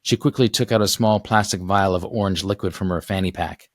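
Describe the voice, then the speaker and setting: Male storybook narrator, deep voice, dry close recording, reading scripted adventure fiction. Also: brisk, no echo. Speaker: author reading own book aloud.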